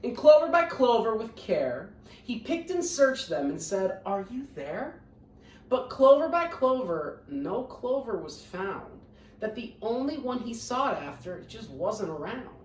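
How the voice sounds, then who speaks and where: A woman reading a children's storybook aloud as an expressive narrator. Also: fairly high pitch, a little slow, a little hiss or hum. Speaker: read-aloud storyteller, teacher. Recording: roomy echo, distant microphone.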